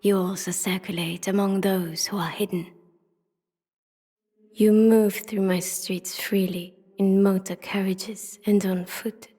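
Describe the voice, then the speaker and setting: A woman voicing an ancient, solemn city spirit in a video game, intimate and prophetic, in a grave breathy whisper. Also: medium pitch, level tone, warm tone. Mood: commanding, intimate. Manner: slow, incantatory, grave. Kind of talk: scripted video game voice acting. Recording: long cavernous reverb.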